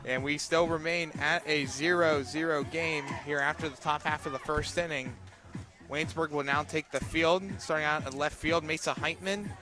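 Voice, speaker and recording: A man doing live radio play-by-play of a softball game, calling the action in short, clipped phrases. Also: on the high side. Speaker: play-by-play announcer.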